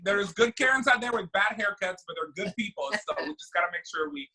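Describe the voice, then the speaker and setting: Guy in his 30s, bright sharp voice, rambling chatty with lots of uhs. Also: sits high, lively intonation, quick pace, rough recording. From Venezuela. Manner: talkative, many fillers and restarts. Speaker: drag queen and event producer. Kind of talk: casual interview chat.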